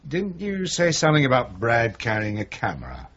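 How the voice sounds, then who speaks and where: An actor playing a gruff, blunt, middle-aged chief inspector in a radio crime drama, weary and disgruntled, clipped in his speech. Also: mid-range voice, wildly swooping pitch, moderate pace, fairly bright tone. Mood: matter-of-fact. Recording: muffled 1980s radio drama recording.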